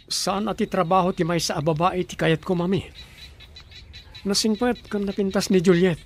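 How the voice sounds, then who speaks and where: A man's voice: high voice, steady tone, moderate pace. A man playing an earnest young man standing up for the woman he loves in an amateur radio drama. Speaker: son.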